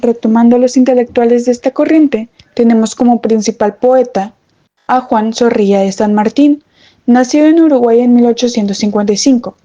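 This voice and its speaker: High, mellow woman reading, dull recording. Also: normal speed. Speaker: student podcast presenter. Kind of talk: scripted, read-out informative narration. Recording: dull lo-fi microphone sound.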